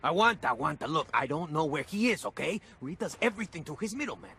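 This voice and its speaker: A nervous, wheedling smuggler pleads in a fairly high, very animated voice. He slips Spanish phrases into his English, with noisy ambience behind him in a game scene. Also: quick pace, heavily acted. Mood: anxious pleading. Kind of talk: dramatic video game acting.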